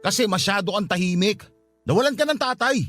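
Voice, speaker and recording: A blunt, angry older brother. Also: male voice, sits high, expressive, a little fast, exaggerated voice. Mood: scolding. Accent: Filipino. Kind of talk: Tagalog radio drama acting. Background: soft music underneath.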